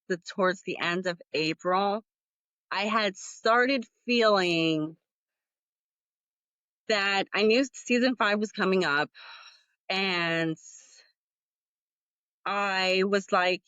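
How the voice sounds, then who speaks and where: A woman hosting her own podcast, chatting in a slow, unhurried way. She rambles candidly and leans on plenty of fillers. The sound is dry and a little dull, as if recorded on modest gear. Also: medium pitch, fairly bright tone, smooth, no room sound. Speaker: podcast host. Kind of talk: casual solo podcast talk.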